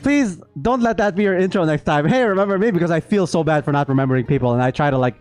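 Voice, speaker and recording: A man's voice: a little high, quick pace, darkish tone, soft background music. A man doing casual live game reaction commentary, chatting playfully with his viewers. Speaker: game streamer.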